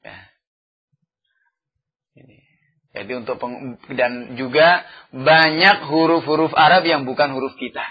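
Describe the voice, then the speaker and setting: A male religious teacher gives a lecture in a husky, mid-pitched voice. He chants verses slowly, then explains them, often dropping in "ya" as a filler. The recording is dull and lo-fi. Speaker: Islamic teacher.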